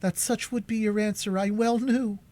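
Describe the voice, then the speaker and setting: Male reader as dignified young woman, raised pitch, solemn, firm. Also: fairly even intonation, moderate pace, mellow, close to an everyday voice. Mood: resolute. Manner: solemn, earnest. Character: proud, calm elder sister, young woman.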